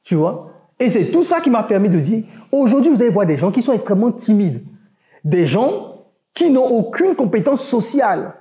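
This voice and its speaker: Mellow-voiced man with a West African accent. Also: high-pitched, big pitch swings, moderate pace. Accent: West African French. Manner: emphatic, motivational, addresses listeners directly. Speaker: online business entrepreneur. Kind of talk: casual podcast conversation.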